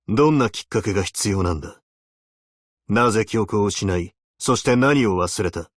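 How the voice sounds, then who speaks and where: A man's voice: lowish voice, no echo, studio quality, lightly acted. A man voicing a stern, weary veteran abyssal hunter in a video game, speaking in a terse, solemn, commanding way. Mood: brooding, probing. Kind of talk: Japanese video game character voice lines.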